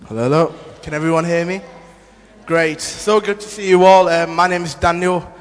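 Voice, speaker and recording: A man in his twenties from Nepal, giving a church testimony in an earnest, halting way with many fillers and restarts. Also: fairly high pitch, steady tone. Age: 20s. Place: church hall. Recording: long hall reverb.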